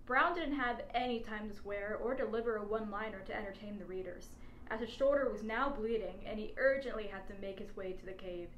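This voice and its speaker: A woman's voice: mid-range voice, a little fast, dull audio. A woman reading out a humorous adventure story as its narrator, in scripted prose. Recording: echoey space with faint background noise.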